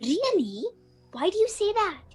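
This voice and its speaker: High swooping voice, woman as polite curious mother, lilting, radio play, faint music. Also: big pitch swings, a little slow, heavily acted. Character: polite, curious mother among spooky hosts. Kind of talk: student radio play acting.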